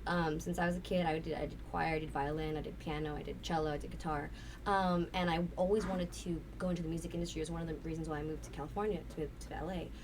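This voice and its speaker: Raspy woman rambling fast, kinda far from the mic, slight hiss. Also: deep voice, fairly even intonation, darkish tone. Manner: rambling, candid, swears casually. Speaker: guest. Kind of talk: casual interview chat. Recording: distant mic with faint noise.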